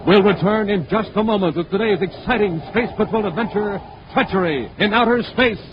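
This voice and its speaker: High-ish, punchy male radio announcer. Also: a little fast. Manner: punchy, emphatic. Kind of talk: 1950s radio scripted narration and advertising. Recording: dull, faintly noisy 1950s radio recording.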